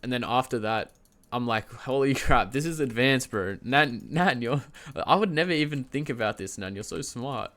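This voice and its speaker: A man's voice: mid-range voice, animated, normal speed, hardly any pauses, fairly bright tone. A young man streaming from home, standing and shifting around at his desk mic. He has a cold, so his voice comes out nasal and congested. He rambles through his story in a casual, chatty way, trips over his words and keeps breaking into little laughs. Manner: loose and chatty, lots of fillers and restarts, laughs mid-sentence; standing and moving around while talking.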